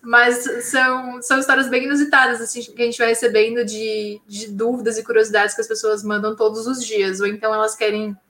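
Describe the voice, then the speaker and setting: A woman with a bright, fairly high voice talks about her science channel in a lively, slangy way. Her words ring around an echoey room. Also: brisk, hardly any pauses, a little hiss or hum. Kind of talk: casual interview talk.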